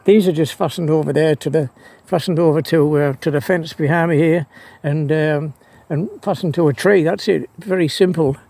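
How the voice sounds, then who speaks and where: A man giving a casual, unscripted explanation as a radio hobbyist, halting and rambling, often stammering into restarts and repeating his phrases. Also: fairly high pitch, creaky, warm tone, no room sound. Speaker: amateur radio hobbyist presenter. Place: outdoors by trees and a fence.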